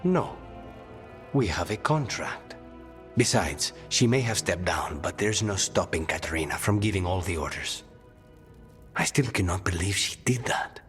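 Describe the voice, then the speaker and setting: A weary assassin boss from a fantasy game, very low in pitch. He talks in a hushed, dry, resigned way, with faint music behind him. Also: animated, unhurried. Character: weary, loyal male assassin leader, dryly resigned. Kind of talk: video game voice acting.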